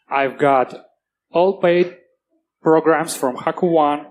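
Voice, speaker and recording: A man's voice: mid-range voice, poor audio. Man, Russian accent, mid-range voice, conference presenter, plain and earnest delivery. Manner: earnest, plain-spoken, halting restarts. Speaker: conference speaker. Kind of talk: technical conference talk. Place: conference stage.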